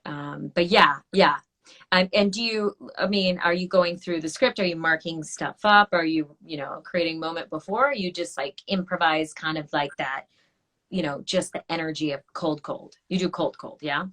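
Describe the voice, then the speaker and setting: A woman's voice: sits low, moderate pace, a bit bright. A woman hosting a podcast interview, chatting casually and asking follow-up questions. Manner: conversational, asks follow-up questions. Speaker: podcast host.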